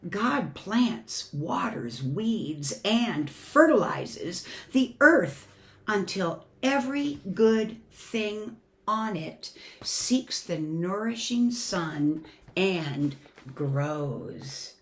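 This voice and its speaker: A woman with a mid-range voice speaks as a storyteller for young children at church. Her pace is slow and deliberate. She reads expressively, moving between emphatic and hushed delivery. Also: mid-range voice, animated. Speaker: church family ministry storyteller. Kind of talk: children's picture book read-aloud.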